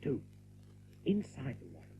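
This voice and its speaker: A man's voice: mid-range voice, huge pitch swings, moderate pace, faint music behind. A man playing a brave, decisive young rabbit leader in an audio drama, earnest and commanding. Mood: calm, planning. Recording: thin narrow-band recording with faint noise.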